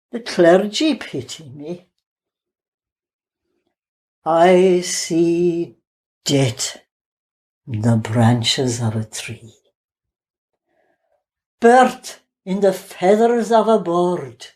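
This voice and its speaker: An Irish woman actor playing a haunted, persecuted outcast madwoman in a plaintive, deliberate dramatic poetry reading. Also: lowish voice, expressive, dark tone. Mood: haunted, forlorn.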